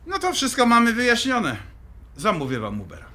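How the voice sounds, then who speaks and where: A man's voice: very high voice, wildly swooping pitch, moderate pace, sharp tone, full character voice. An actor playing a stern, middle-aged father in an audio drama, blunt, commanding and dismissive.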